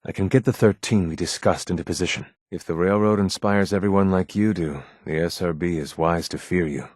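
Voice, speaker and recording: An actor voicing a guarded, earnest male android rebel leader in a video game, with formal, measured, grave speech and a husky voice. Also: sits low, steady tone, darkish tone, no echo, clean studio sound. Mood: grave, determined. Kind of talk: scripted game character dialogue.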